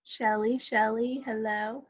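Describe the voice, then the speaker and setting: A woman's voice: a little high, steady tone, slow pace, husky, lo-fi sound. A woman coordinating a virtual event, talking casually as she organizes participants over a video call.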